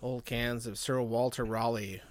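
A man's voice: mid-range voice, fairly even intonation, a little slow. A man with a mid-range voice chats casually, asking questions and stumbling over the first words of his sentences. He sits close to the mic in a dry room with almost no echo. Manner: inquisitive, stammers on first words. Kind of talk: casual chat.

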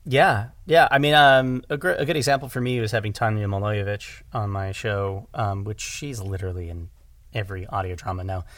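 A man's voice: lowish voice, a little fast, no room sound. Casual, jokey man in a dry recording. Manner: animated, rambling, jokey, repeats words for emphasis. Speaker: audio drama director and podcast guest. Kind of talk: casual conversational discussion.

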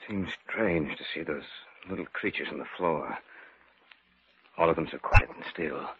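A man's voice: a bass voice, normal speed. An actor playing an uneasy, wondering man on a supernatural hunt in an old radio drama. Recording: dull, noisy vintage radio.

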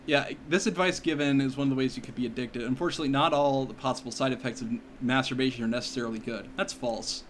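A man co-hosts a podcast in loose, joking chat, wandering off into tangents and filling pauses with "like". His voice sits at an ordinary pitch. A faint hum of fans runs under the recording. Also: steady tone. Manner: joking, rambling, fond of filler words. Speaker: podcast co-host. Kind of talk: casual comedic chat. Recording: faint room noise, fans in background.

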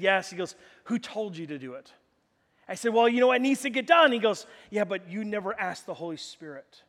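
Male pastor, high-pitched, loud and animated, preaching in a church hall with long reverb. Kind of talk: sermon.

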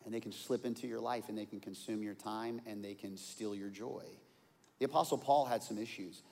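A man's voice: lowish voice, steady tone, fairly quick, gravelly. Preaching man in a reverberant hall. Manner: storytelling, swings from quiet to loud. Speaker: pastor. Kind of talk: sermon.

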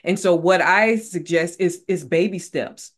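A woman's voice: fairly low pitch, measured pace. A woman author speaking as a guest in a casual interview, earnest and repeating phrases for emphasis.

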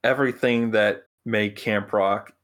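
A low-voiced man talking as a podcast host in a casual review style. His delivery is very slow, blunt and broken by pauses. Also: fairly even intonation, fairly bright tone. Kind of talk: casual film review chat.

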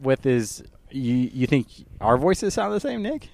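Animated teasing man. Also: medium pitch, a little slow, creaky, a little hiss or hum. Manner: teasing, chuckling asides. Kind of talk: casual podcast banter.

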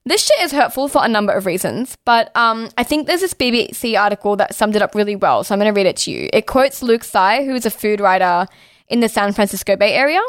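A woman's voice: medium pitch, animated, fast, dry recording, clean studio sound. A young Australian woman talking casually and bluntly as a podcast co-host, saying "like" constantly and swearing freely. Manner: outspoken, heavy use of "like", swears freely.

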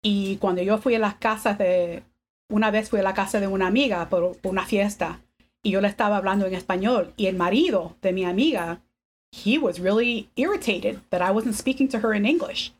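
A woman in her fifties, a podcast guest, telling family stories in a relaxed way and mixing English and Spanish. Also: medium pitch, moderate pace. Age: 50s. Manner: switches between English and Spanish, laughs often. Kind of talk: casual bilingual conversation.

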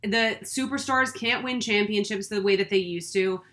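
A woman's voice: medium pitch, a bit bright. A woman from Boston co-hosting a podcast, talking enthusiastically and with strong opinions. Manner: enthusiastic, opinionated, fast talker. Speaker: podcast co-host. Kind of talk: casual podcast chat.

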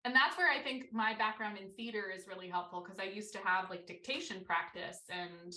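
A woman from Maryland, a writer and teacher, chatting as a guest with a low voice, some vocal fry and many fillers. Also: brisk, pushed and bright. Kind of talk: casual interview chat. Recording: roomy, somewhat far from mic.

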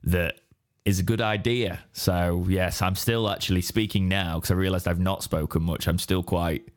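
An English man with a deep, raspy, hoarse voice from straining it, rambling in casual podcast chat. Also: fairly even intonation, moderate pace. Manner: rambling, restarts mid-sentence. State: hoarse after straining his voice. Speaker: podcast co-host.

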